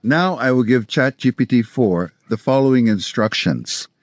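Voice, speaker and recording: This is an American man in his sixties hosting an instructional video. His voice sits at an average pitch for a man. He explains things step by step in a measured, scripted manner, and the sound is dry, with hardly any room in it. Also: animated, no room sound. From South Florida, United States. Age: 60s. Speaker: language-learning video host, polyglot. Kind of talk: scripted instructional narration to camera.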